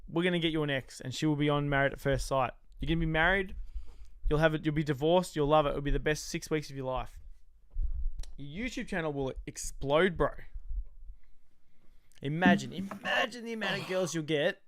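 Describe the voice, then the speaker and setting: An Australian man co-hosting a casual recap chat, loud, jokey and excitable, trash-talking on a close mic. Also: on the high side, brisk, no echo. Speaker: podcast co-host.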